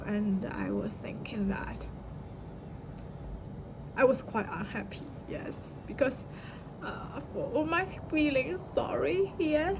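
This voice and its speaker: A young woman, a native Chinese speaker, talking through a personal reflective monologue with many fillers and restarts. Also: fairly high pitch. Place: outdoors by the sea. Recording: noisy outdoor ambience.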